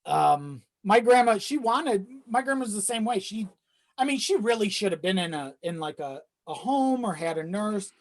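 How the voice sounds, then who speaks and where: Podcast guest for casual conversation: mid-pitched voice, self-deprecating, loose and profane. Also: expressive, moderate pace. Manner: informal, sweary, self-deprecating. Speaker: video editor.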